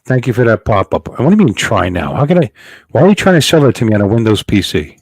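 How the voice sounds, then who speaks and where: Animated, jokey middle-aged man. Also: medium pitch, fast talker, no room sound. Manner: playful, rambling, jokey. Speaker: livestream host. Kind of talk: casual joking livestream chat.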